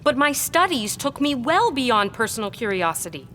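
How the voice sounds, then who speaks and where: A woman's voice: a little high, big pitch swings, bright, forceful tone, slight background noise. Composed, guarded female historian. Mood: earnest, defensive. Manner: measured, earnest, evasive. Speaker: actor in an audio drama. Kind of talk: gothic period audio drama acting.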